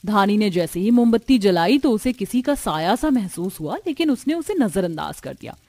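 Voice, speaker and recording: A woman's voice: mid-range voice, big pitch swings, moderate pace, warm tone, dry recording. A woman narrating a scripted family cartoon story.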